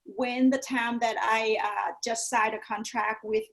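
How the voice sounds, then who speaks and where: A woman's voice: fairly high pitch, steady tone, measured pace, gravelly, a bit bright. Thai-accented woman, earnest yoga teacher. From Thailand. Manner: earnest, often adds "you know" and "right?". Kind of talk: casual interview chat.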